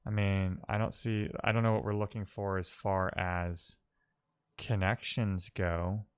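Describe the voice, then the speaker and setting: A man's voice: low voice, flat delivery, a little slow, clean tone. A man live-streaming a coding session, casually thinking aloud and muttering as he troubleshoots, with wry asides. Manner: muttering to himself while troubleshooting.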